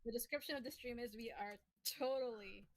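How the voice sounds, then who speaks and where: Woman, high voice, dry sound, casual livestream chat, playful. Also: a little fast, no echo. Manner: playful, laughs readily. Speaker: streamer.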